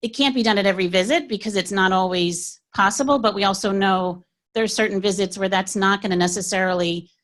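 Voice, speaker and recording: A woman's voice: mid-range voice, quick pace, a bit bright. A woman pediatrician in her forties, sharing her experience in a reflective, conversational way at a workshop.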